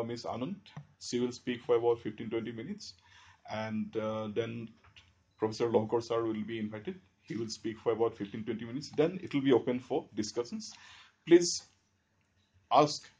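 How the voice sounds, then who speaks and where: A middle-aged Indian-accented man speaks at a podium, introducing guests in a formal, halting way. His voice is mellow and average in pitch, and the recording is slightly dull. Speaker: faculty member introducing speakers. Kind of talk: formal welcome speech. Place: lecture auditorium stage. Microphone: podium gooseneck microphone.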